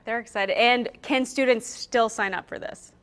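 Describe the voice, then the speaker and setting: A woman's voice: a little high, fairly even intonation, normal speed. A woman hosting a local TV interview in a studio, upbeat and informal in manner. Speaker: TV news host, interviewer.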